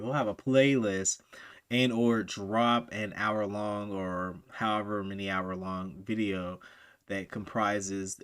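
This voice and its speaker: A man hosting a reaction video, talking in laid-back, slangy banter. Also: fairly low pitch. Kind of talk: casual reaction commentary.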